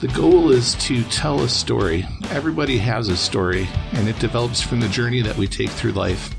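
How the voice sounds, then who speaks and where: A man's voice: medium pitch, brisk, vocal fry, over music. A man from Wisconsin hosting a casual interview podcast, easygoing and chuckling as he asks follow-up questions. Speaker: podcast host.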